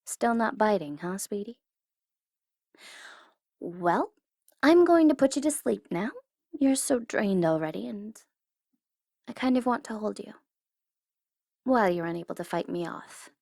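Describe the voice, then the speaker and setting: A woman's voice: mid-range voice, lively intonation, a little slow, creaky, pauses often, warm tone, no room sound, clean studio sound. A woman playing a smug, secretly tender female supervillain mastermind in an intimate, theatrical ASMR roleplay, teasing and easily flustered. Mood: smug, commanding.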